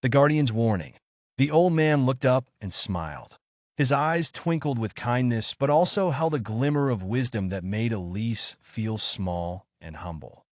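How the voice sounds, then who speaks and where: A man narrating a scripted bedtime story as a storyteller, in an expressive, theatrical manner. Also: mid-range voice, a little slow, no echo, clean studio sound.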